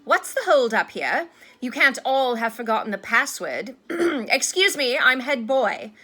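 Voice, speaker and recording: A woman puts on an officious older schoolboy from a storybook. The voice is sharp and lifted, full of bossy self-importance and bustle. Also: sits high, sing-song, measured pace, pitched above the performer's own voice, exaggerated voice. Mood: pompous. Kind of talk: storybook character voice.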